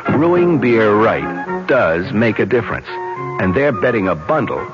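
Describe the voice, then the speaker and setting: A man's voice: lowish voice, sing-song, measured pace. An American man reading a conversational, persuasive radio commercial. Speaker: commercial announcer. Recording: muffled old radio recording. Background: loud music.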